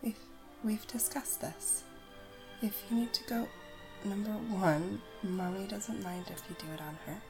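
A woman whispering softly and tenderly in an intimate audio roleplay, coaxing and gentle, with music behind her. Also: mid-range voice, measured pace, rough recording.

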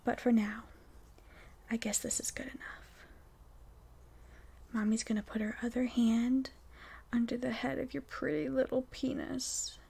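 Woman, whispered roleplay voice, teasing and coaxing, mellow with a gravelly edge. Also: fairly high pitch, flat delivery, measured pace, long pauses. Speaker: audio roleplay performer.

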